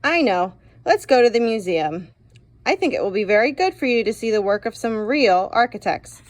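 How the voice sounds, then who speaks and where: A woman voicing a critical old grandfather in a children's storybook, hemming and measured as he doubts what he is shown. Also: a little high, expressive, dry recording, natural voice. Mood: coaxing.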